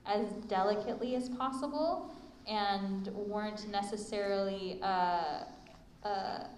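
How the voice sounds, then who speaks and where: A woman answers a fan's question on a convention panel in an earnest, careful way. Her pitch sits in the middle range. The hall adds a strong echo over a faint noise. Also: takes it slowly, a little mellow. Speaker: voice actor panelist.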